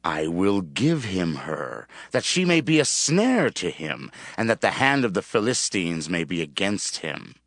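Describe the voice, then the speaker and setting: A man's voice: sits low, big pitch swings, measured pace, hardly any pauses, fairly bright tone, no echo, clean studio sound, exaggerated voice. A man playing a jealous, scheming king in a dramatized scripture audio, with a grave, commanding, theatrical delivery.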